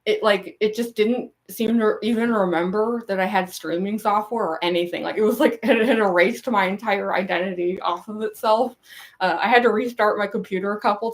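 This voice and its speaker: A young woman with a gruff, raspy voice and a goofy laugh, chatting casually with viewers on a livestream, rambling with lots of fillers. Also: mid-range voice. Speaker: cosplay livestreamer.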